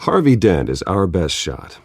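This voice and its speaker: Smooth rich philanthropist in a video game, measured and polite, gravelly, pitch moves around a lot. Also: male voice, sing-song, no room sound, clean studio sound, exaggerated voice. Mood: persuasive. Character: reserved wealthy man, smooth charming philanthropist host.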